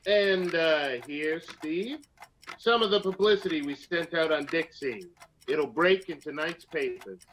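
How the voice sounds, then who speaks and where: A man's voice: on the high side, unhurried, over music, natural voice. A man playing a smug, mocking, slangy nightclub racketeer in a vintage-style radio crime drama. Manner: mocking wise guy, slangy. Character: smug, sneering, slippery male nightclub racketeer.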